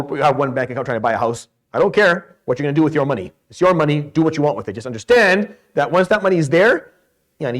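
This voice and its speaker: A man from Syria giving an informal lecture on Islamic law, blunt and emphatic, mixing Arabic terms into his English. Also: a little high. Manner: blunt, emphatic, explains with role-played questions and answers.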